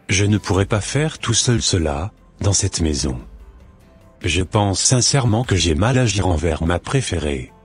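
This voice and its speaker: Remorseful husband for a cartoon drama: male actor, very low husky voice, earnest, music underneath. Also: exaggerated voice. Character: remorseful, lonely husband swallowing his pride. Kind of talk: scripted animated drama monologue and dialogue.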